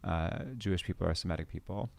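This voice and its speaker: Man, very low voice, from Canada, middle-aged, hesitant with many restarts, dry close sound. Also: steady tone, fast, creaky, dry recording. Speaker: podcast guest. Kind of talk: conversational interview.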